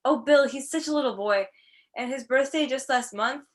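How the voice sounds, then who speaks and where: Woman acting high-voiced anxious small-town wife. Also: normal speed. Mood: pleading, defensive. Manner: pleading, folksy. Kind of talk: amateur one-act play acting. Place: filmed on a video call.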